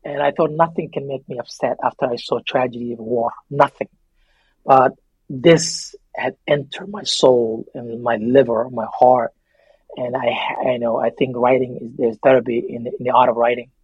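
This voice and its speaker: A man's voice: mid-range voice, fairly even intonation, moderate pace, darkish tone, breathy edge, no room sound, lo-fi sound. A man with a Somali accent, a native Somali speaker, talking reflectively as an interview guest, earnest and emphatic, often repeating his phrases. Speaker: interview guest, memoir author.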